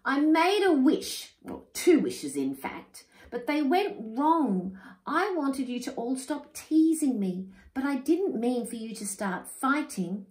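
A woman voicing a tiny, timid young male warthog in a squeaky voice, reading a storybook aloud. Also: very animated, slow, darkish tone, full character voice. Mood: apologetic. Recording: roomy echo.

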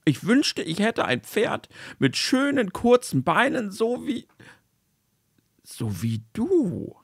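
A man's voice: sits high, wildly swooping pitch, slow, slightly rough, no echo, clean studio sound, voice pushed up, heavily acted. A man voicing a tearful young soldier of the royal guard who sobs and stammers in a bedtime story. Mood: weepy. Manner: sobbing, stammering.

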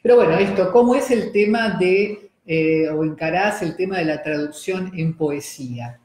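A woman's voice: fairly low pitch, normal speed, a little mellow. Argentine woman hosting in an echoey room. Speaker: host. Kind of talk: interview. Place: room with wooden table and screen. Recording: roomy echo.

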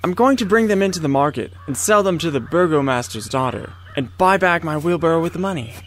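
An actor playing a humble, naive little gardener in an audio drama, meek and eager to please. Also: male voice, on the high side, animated, fairly quick, a little hiss or hum, natural voice. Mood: sheepish, cheerful. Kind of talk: theatrical audio drama acting.

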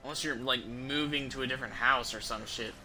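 A man's voice: mid-range voice, steady tone, fairly quick. A man in his thirties giving casual, sweary game commentary, thinking aloud as he plays on a stream. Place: indoors at home, streaming setup. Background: game music.

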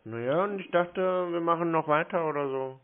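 A man's voice: a little high, brisk. A man in a casual phone conversation, playing a customer who sounds hesitant, naive and questioning. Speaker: prank caller posing as a customer. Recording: tinny band-limited phone line.

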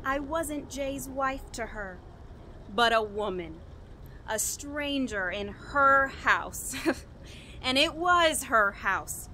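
A woman playing a despairing, bitter young murderess, halting and brooding, in an old-style radio horror drama. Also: sits high, expressive, sharp tone, slight background noise, full character voice. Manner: halting, brooding, with anguished emphasis. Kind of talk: melodramatic 1940s-style radio horror acting.

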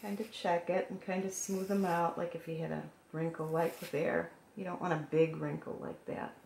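A woman with a low, gravelly, hoarse voice explains each step of a painting craft in a calm, gentle way. Her voice is recorded at a work table in an echoey room with a faint hiss behind it. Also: moderate pace. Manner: calm, gentle instructional talk while working. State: hoarse, froggy voice.

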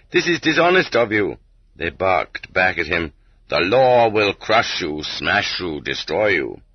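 Male audiobook reader, husky, dry studio sound slightly muffled, measured and dramatic emphasis. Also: on the low side, no room sound. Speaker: audiobook narrator. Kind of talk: scripted audiobook narration.

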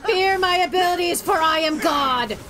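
A woman streaming a video game, with excitable, theatrical, ranting live commentary. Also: very high-pitched, normal speed. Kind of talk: live gameplay commentary and chat. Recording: loud game music behind. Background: video game music and effects.

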